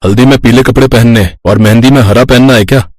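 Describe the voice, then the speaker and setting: An actor playing an agreeable, easygoing husband in a cartoon family story. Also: male voice, mid-range voice, fairly even intonation, quick pace, darkish tone, dry recording, close to an everyday voice. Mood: helpful.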